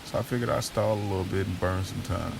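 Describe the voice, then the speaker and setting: Deep, gravelly American man telling his story. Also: steady tone, fast, noisy background. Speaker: narrator of an audio drama series. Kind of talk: first-person storytelling in casual street vernacular. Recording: music bed under the voice. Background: music.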